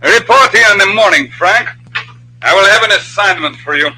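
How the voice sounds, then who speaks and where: Formal, sharp-voiced radio crime boss. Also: male voice, high-pitched, lively intonation, a little hiss or hum, full character voice, poor audio. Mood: coolly commanding. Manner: formal, precise, measured. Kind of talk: old-time radio drama acting.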